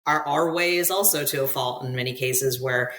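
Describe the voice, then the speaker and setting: Bright-voiced American woman in conversation. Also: extremely low pitch, moderate pace, a little hiss or hum. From United States. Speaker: podcast guest. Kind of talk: conversational interview, recounting work experiences abroad.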